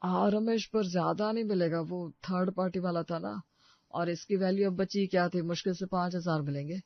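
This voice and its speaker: A man's voice: high-pitched, fairly even intonation, moderate pace, gravelly, dry recording, dull audio. A man playing a fretful, earnest older uncle in a comic family story. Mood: gloomy.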